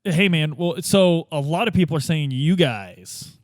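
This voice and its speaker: A man's voice: on the high side, a little slow, dry recording, studio quality. A man playing a tabletop role-playing game, chatting casually and improvising questions in a hesitant, joking way.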